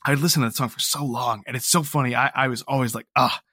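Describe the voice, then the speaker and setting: A man speaking as an interview guest about his writing, earnest and self-deprecating, in a reflective, casual and halting way. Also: mid-range voice, fairly even intonation, sharp tone, breathy edge, dry recording, studio quality. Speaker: interview guest, audio drama writer.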